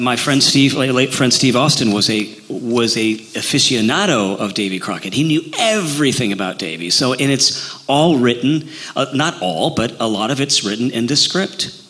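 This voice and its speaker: Chatty Midwestern man with a bright voice. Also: medium pitch, animated, normal speed. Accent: Midwestern American. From Illinois. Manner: chatty, rambling, many fillers and restarts. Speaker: actor playing Davy Crockett, interview guest. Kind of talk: casual interview talk.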